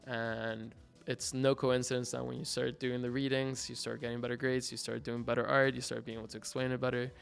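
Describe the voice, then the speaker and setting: Young Mexican-accented man, relaxed and rambling, gravelly but smooth, faint music under. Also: medium pitch, brisk, few pauses. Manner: relaxed, rambling, many likes and restarts. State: hungover. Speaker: graduating art student guest. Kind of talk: casual interview chat.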